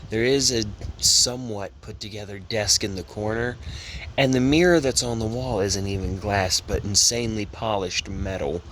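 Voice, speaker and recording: A man narrating an amateur horror story in a measured storytelling manner, with slight stumbles. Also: lowish voice, a bit bright, a little hiss or hum, low-quality recording. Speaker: narrator and voice actor of a fan horror dub.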